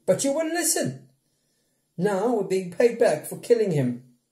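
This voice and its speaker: A man's voice: sits high, very animated, measured pace, mellow, voice pushed up. A man voicing an exasperated, remorseful brother scolding his siblings in a storybook-style dramatic reading. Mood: anguished reproach. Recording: roomy indoor echo.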